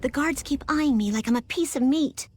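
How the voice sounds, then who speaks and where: A woman's voice: high voice, normal speed, faint music behind, lightly acted. A woman playing a distressed, frightened young captive girl in a video game. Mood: frightened, urgent. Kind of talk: video game dialogue acting.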